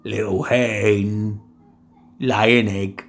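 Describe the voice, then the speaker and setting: A man voicing a huge, gruff male giant who speaks slowly and threateningly in a storybook reading. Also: flat delivery, full character voice. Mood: bossy. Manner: booming, slow, threatening.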